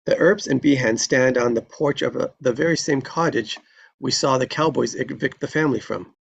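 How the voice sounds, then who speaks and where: A man's voice: medium pitch, moderate pace, vocal fry, husky, dull audio. A man reading stage directions as narrator in a live screenplay table read.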